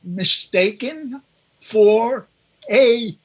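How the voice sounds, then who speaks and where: A woman's voice: on the high side, drawn-out words, muffled recording. A woman playing a wry, officious police officer in 1940s-style radio comedy.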